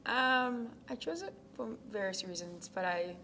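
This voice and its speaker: A young woman from Ghana, a college tennis captain, answering interview questions in a soft, casual way with many ums, sometimes dropping to a near whisper. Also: medium pitch, lively intonation, unhurried. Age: young adult. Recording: noisy background.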